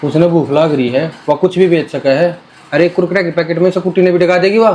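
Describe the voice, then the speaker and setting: An actor as an exasperated Haryanvi village man, high-pitched. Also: steady tone, fast, a little mellow, slight background noise, lightly acted. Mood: worried. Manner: colloquial, complaining. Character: exasperated rustic village man, anxious and teasing. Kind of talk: comedy sketch acting.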